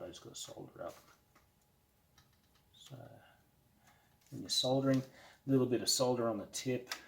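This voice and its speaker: A man with a fairly low voice and strong vocal fry talks through hands-on hobby work. His delivery is casual and unscripted, with a halting flow as he thinks aloud. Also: slight background noise. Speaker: hobbyist narrating an electronics kit build. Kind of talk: casual unscripted how-to commentary.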